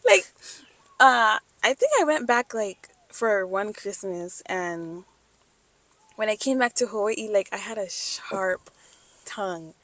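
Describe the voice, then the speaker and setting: A woman's voice: medium pitch, animated, slow, a bit bright, no room sound. A young woman from New York hosting a podcast, talking in a chatty, playful, upbeat way. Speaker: podcast host. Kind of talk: casual interview chat.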